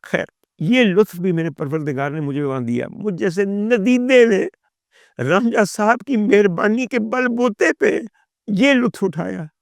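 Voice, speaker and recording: A man's voice: high voice, a little slow, gravelly, dry recording, clean studio sound. A Pakistani man telling a personal story as a monologue, in a dramatic, emphatic raconteur's manner. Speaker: talk show guest and storyteller.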